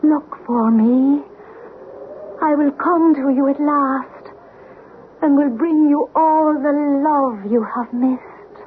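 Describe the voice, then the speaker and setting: A woman's voice: sits high, slow. An actress playing a yearning, solemn ghost of a loving mother in an old-time radio drama. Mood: tender, yearning. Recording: muffled, noisy old radio recording.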